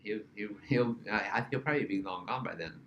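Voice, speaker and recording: A man's voice: fairly low pitch, fairly quick, fairly bright tone, muffled recording, a little hiss or hum. Joking man hosting a podcast. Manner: joking, teasing banter. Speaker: podcast host. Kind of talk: casual podcast chat.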